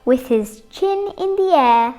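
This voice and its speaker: Very slow, high-pitched little girl reading. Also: faint music behind. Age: 8-year-old child. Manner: expressive, dramatic phrasing with deliberate pauses. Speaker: young storybook reader. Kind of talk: children's picture-book read-aloud.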